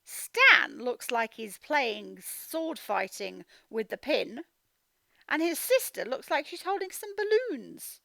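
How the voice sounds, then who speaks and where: A woman's voice: a little high, big pitch swings, slow pace, vocal fry, sharp tone, no echo. A woman reading a storybook as a phonics teacher, sounding out words and putting questions to young children. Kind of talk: interactive storybook read-aloud lesson.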